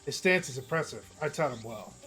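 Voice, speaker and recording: A man with a hoarse, worn voice narrates a visual novel on a live stream. He reads casually with small slips, at a middle pitch, and faint music plays underneath. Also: animated, a little fast, bright, forceful tone. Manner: casual, chatty, reading aloud with small slips.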